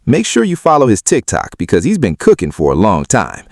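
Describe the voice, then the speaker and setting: A man's voice: fairly low pitch, wildly swooping pitch, a little fast, creaky, darkish tone, no room sound, studio quality. A man giving opinionated video commentary in an emphatic, argumentative way. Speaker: video commentator on hip-hop.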